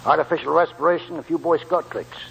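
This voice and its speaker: An American man acting a folksy, wily old gold prospector in a 1940s radio drama, with a creaky voice. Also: a little high, quick pace, fairly bright tone, slight background noise, low-quality recording. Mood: resigned, uneasy. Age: older. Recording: old 1940s radio broadcast, music behind.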